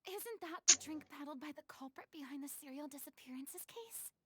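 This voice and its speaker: A woman's voice: high voice, expressive, moderate pace. An actress playing a concerned, questioning young woman in a video game, with noise behind her voice. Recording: clear background noise with clicks.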